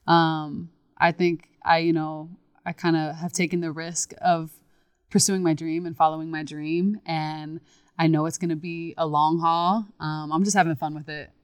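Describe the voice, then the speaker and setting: Smooth, resonant woman with a lowish voice. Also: flat delivery, moderate pace, no echo, studio quality. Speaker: interviewed disco singer. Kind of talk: casual interview talk.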